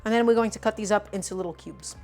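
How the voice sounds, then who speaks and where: A woman's voice: mid-range voice, fast talker, a little mellow, soft background music. A woman in her twenties hosting a casual cooking livestream, teasing her viewers and explaining each step while she chops. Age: 20s. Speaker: cooking streamer.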